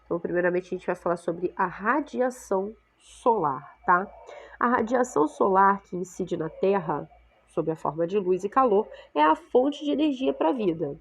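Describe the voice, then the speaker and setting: A woman's voice: mid-range voice, lively intonation, normal speed, husky. A woman with a Brazilian Portuguese accent teaching a science lesson to sixth graders, congested from a cold and often checking in with her class. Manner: explanatory, checks in with frequent "tá?" and "né?".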